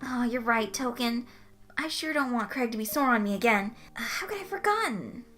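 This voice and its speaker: A woman's voice: on the high side, lively intonation, brisk, fairly bright tone, soft background music, pitched above the performer's own voice. A woman voicing a cheery, earnest young male accountant in an audiobook, eager and folksy. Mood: downcast. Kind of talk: audiobook character voice.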